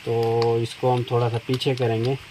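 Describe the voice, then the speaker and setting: A middle-aged man, calmly explaining step by step how to fit a chainsaw blade at a tool shop counter. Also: mid-range voice. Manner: plain, unhurried explaining while working with his hands. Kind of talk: step-by-step product demonstration. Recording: noisy shop recording.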